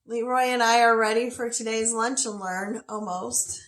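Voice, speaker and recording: A chatty woman with a scratchy, slightly coughing voice, pitched a bit high, teaching a relaxed quilting lesson from her sewing studio. She talks in a folksy, friendly way, and the room gives her voice a noticeable echo. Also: normal speed. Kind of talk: casual livestream quilting lesson. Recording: echoey room.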